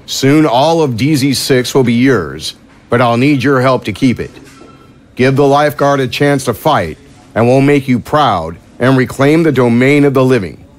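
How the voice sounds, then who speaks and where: A man acting as a loyal government aide with a medium-pitched voice. He delivers military dispatches in the style of a radio drama. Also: expressive, moderate pace, natural voice. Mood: declaiming.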